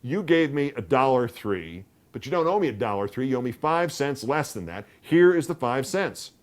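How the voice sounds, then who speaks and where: A man's voice: medium pitch, very animated, normal speed, pitched above the performer's own voice, natural voice. A man reading a story aloud, voicing a careful, stiff grocer in a storybook. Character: careful, stiff middle-aged male grocer.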